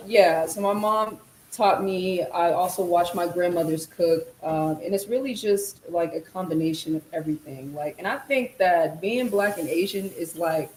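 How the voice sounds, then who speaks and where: A woman's voice: fairly low pitch, normal speed, a little mellow. Young woman, laid-back slangy talk, casual cooking chat, echoey kitchen. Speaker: guest cook. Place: home kitchen. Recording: echoey kitchen with faint noise.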